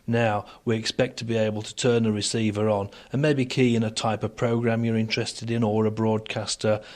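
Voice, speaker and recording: A man speaking as an expert guest in a radio interview, explaining things in a measured, formal and articulate way. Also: fairly low pitch, level tone, a little mellow. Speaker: interviewed expert on broadcast technology.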